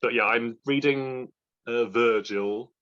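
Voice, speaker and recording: Male book vlogger, thirties, mid-pitched, jokey and eager in unscripted chat, slightly dull recording. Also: takes it slowly, fairly bright tone. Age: 30s. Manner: enthusiastic, jokey, self-deprecating. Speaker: book vlogger and readalong co-host.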